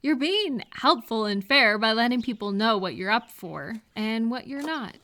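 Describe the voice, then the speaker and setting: A woman's voice: fairly high pitch, animated, moderate pace, bright, forceful tone, slight background noise. A woman speaking conversationally to one listener in a supportive advice roleplay, reassuring and explanatory. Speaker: audio roleplay performer giving supportive advice.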